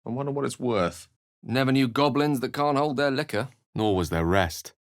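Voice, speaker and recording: A man's voice: mid-range voice, moderate pace, no room sound, studio quality, natural voice. A man voicing an eloquent, bookish, theatrical wizard in a video game, speaking with refined and wry wording. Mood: measured, alert. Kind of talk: video game character dialogue and narration.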